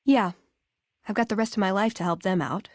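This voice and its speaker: A woman acts as a young American woman scribe in a video game. She is earnest and conversational, with a dry wit. Her voice sits at a middle pitch, recorded very dry with no room sound. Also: brisk, no room sound, close to an everyday voice. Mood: resolved, sober. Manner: conversational, dryly wry. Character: wry, earnest young woman scribe of a tech-hoarding order. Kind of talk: video game character dialogue.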